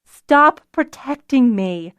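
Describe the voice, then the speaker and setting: A woman's voice: fairly high pitch, animated, a little slow, no room sound, muffled recording. Woman voicing an intense, grieving, insistent young woman. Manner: demanding, emotional.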